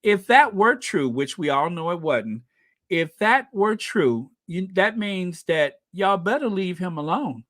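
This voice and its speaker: Man, livestream host, bouncy melodic delivery, unhurried pace, dry close sound. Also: high-pitched, no echo. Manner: chatty, animated, laughs often. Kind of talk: casual livestream chat reading viewer comments.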